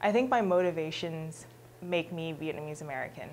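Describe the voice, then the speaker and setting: A young woman from Texas talks about herself unrehearsed, her voice sitting a little low. She is earnest and reflective, reaching for words with frequent "like" and "um". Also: a little fast, a little mellow, slight background noise, rough recording. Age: young adult. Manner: earnest, leans on "like" and "um". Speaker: student interviewee. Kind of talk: unscripted reflective answers.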